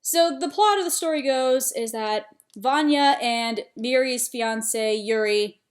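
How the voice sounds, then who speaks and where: A woman's voice: fairly high pitch, slow. A young woman vlogging a casual book review, chatty with lots of fillers and some exasperated asides. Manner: chatty, frequent ums, rising exasperation.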